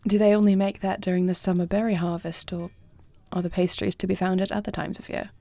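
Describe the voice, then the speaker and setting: A young dragon queen played by a woman speaks in a dark, mellow voice with a touch of fry. She is compassionate and regal, formal in her phrasing yet softly intimate. It is fantasy audio roleplay, recorded close and dry. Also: fairly low pitch, level tone, fast, no echo. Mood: curious, warm. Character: compassionate young dragon queen, self-doubting warrior bride.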